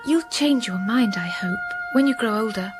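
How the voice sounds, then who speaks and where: An actress voicing a patient, pious young schoolgirl in a radio drama, calm and gentle. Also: fairly high pitch, normal speed, over loud music, close to an everyday voice. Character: patient pious young girl pupil Helen.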